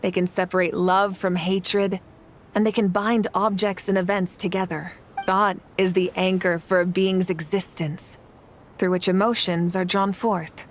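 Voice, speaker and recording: An actress playing a wise woman in a game, reciting teachings in a measured, poetic way. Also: fairly low pitch, level tone, slight background noise, natural voice. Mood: reflective.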